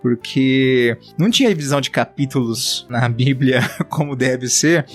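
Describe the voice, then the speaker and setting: A Brazilian man co-hosting a relaxed Bible podcast, joking and acting out quotes as he talks. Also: mid-range voice, a little slow, soft background music. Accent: Brazilian Portuguese. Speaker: podcast co-host. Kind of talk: casual Bible commentary chat.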